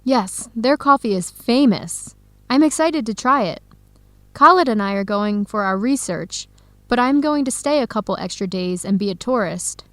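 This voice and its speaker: A woman playing an earnest young Ethiopian-woman in an educational radio drama, speaking clearly and deliberately. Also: a little high, lively intonation, a bit bright, dry recording. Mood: excited.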